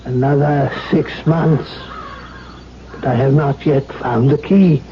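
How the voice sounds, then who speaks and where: An actor playing a grave, sorrowful old alchemist, solemn and deliberate, in a 1970s radio drama. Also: male voice, medium pitch, fairly even intonation, crowd noise, close to an everyday voice. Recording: dull, hissy 1970s radio broadcast.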